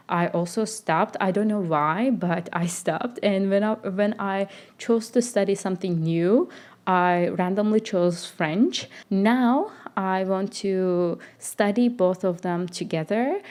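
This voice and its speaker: Slow-talking young woman vlogger, not a native English speaker. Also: on the low side, a little mellow. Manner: unhurried, chatty, with frequent fillers and self-corrections. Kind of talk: casual life-update vlog.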